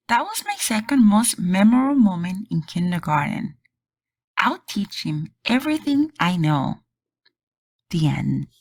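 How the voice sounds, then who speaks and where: A woman host reading a storybook aloud for kids in an animated, deliberate, emphatic way. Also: fairly low pitch, husky. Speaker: children's read-aloud channel host and teacher.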